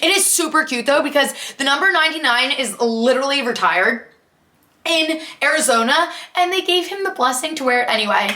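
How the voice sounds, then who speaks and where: A young woman giving casual, opinionated sports commentary in a chatty, expressive, emphatic way with slangy asides, recorded in an echoey room at home. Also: sits high, fairly quick. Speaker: sports vlogger and podcast host.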